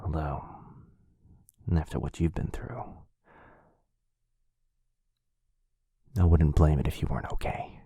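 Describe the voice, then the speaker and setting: Guy doing a sweet centuries-old vampire boyfriend, asmr roleplay, low soft-spoken voice, dry close sound, reassuring. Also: fairly even intonation, normal speed, slightly rough, no echo, full character voice. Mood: gently concerned. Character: gentle, caring centuries-old male vampire lover.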